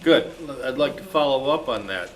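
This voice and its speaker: A man's voice: mid-range voice, animated, moderate pace. Man moderating an informal meeting. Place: indoor club meeting. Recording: noisy live meeting room.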